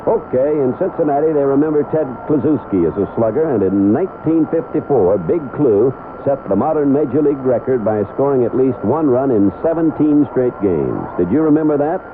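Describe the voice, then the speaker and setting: A man's voice: mid-range voice, lively intonation, a little fast, few pauses. A North American man reading a scripted radio advert and trivia quiz as an announcer. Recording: noisy tinny vintage 1970s radio broadcast.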